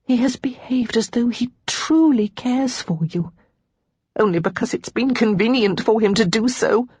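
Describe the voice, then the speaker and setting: A woman narrator voicing Lara, a young widow who is ashamed, despairing and crying, in a husky voice that keeps dropping to a whisper. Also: medium pitch, moderate pace, a little mellow, no echo, voice pushed up. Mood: despairing, tearful. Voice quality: husky, tearful, often whispering.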